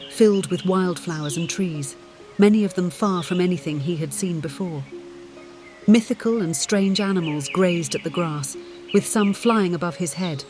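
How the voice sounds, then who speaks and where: A narrator reads a scripted story for an audiobook. The voice sits at a middling pitch. Music is clearly heard behind the reading.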